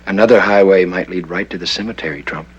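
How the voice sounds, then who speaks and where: An actor playing a cool, menacing masked vigilante posing as a crime boss in a 1960s TV crime drama, speaking in clipped, unhurried threats. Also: male voice, sits low, breathy edge, lo-fi sound. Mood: firm, bargaining. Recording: humming, noisy old TV soundtrack.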